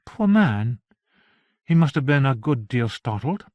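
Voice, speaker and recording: A man's voice: mid-range voice, very animated, a little slow, no echo, lo-fi sound. A man voicing an earnest young wife who talks eagerly and persuasively, in a storybook reading.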